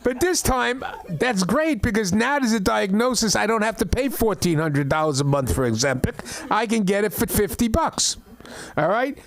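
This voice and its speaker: A man's voice: high-pitched, normal speed, no room sound. Rambling middle-aged man doing stand-up. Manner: rambling, repeats words and restarts, deadpan complaints. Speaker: stand-up comedian. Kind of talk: open mic stand-up set. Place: comedy club open mic.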